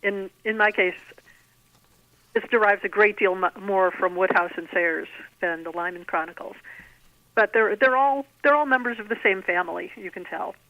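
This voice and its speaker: Middle-aged female author, interviewed by phone; low-pitched, articulate and discursive, thin narrowband sound. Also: normal speed, dry recording. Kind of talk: conversational interview answers. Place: remote call into a podcast. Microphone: telephone. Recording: narrow tinny phone line.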